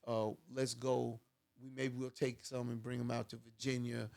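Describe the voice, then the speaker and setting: Gravelly, flat-toned podcast host. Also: male voice, medium pitch, moderate pace. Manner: conversational, full of "you know" asides. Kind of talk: casual podcast chat. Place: podcast studio.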